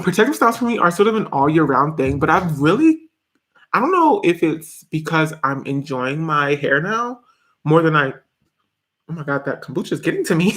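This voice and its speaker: A young man in his twenties talks casually on a live stream from a small bedroom, answering viewers' comments. His voice sits a little high for a man, and he laughs often, restarts sentences and piles on fillers as he chats. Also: fairly bright tone. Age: 20s. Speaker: YouTuber reading live chat.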